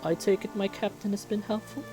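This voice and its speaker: A man acts out a furry animal king from a visual novel, fatherly yet stern. The voice is lifted in pitch, formal and measured, with a commanding calm. Music plays clearly behind it. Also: close to an everyday voice. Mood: welcoming.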